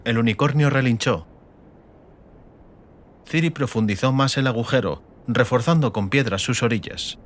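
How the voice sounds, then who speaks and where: A man's voice: medium pitch, moderate pace, pauses often. A man with an accent from Spain, narrating a fantasy audiobook in a dramatic scripted style. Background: music.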